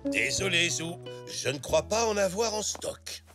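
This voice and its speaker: A man's voice: sits high, a little slow, music in the background. A man voicing a kindly, hesitant, apologetic zebra shopkeeper in a French children's cartoon.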